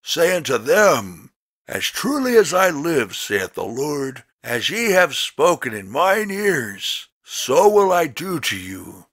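A man reading scripture as a narrator in a solemn, dramatic style, with measured, emphatic, declamatory delivery. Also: fairly high pitch, very animated, a bit bright, dry recording. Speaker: Bible narrator.

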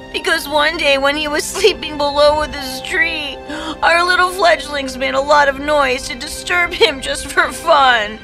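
A woman voicing a grieving, helpless mother eagle in a slow, sobbing lament for a fairy-tale cartoon. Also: high voice, poor audio. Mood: tearful, aggrieved. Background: prominent background music.

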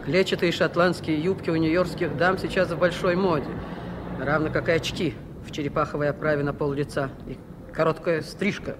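An actress as a self-assured, sardonic woman in a radio drama. She is curt and dry, with a darkly superstitious streak. The old recording has clear background noise. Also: low voice, steady tone, fairly quick, lightly acted. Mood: brisk, sardonic. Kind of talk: Soviet radio play acting. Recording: noisy 1980s radio recording.

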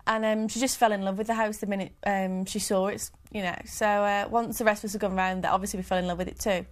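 A woman's voice: medium pitch, little pitch movement, fast. A young woman in her twenties, speaking casually and hesitantly in a living room interview.

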